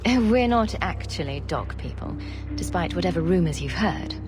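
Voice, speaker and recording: A woman plays a wry champion in a fantasy game. Her voice sits a little low, and she talks with dry, self-possessed wit. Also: animated, moderate pace, music in the background, natural voice. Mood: amused, dry. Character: dry-witted, self-possessed adult female fantasy hero. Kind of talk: video game character dialogue.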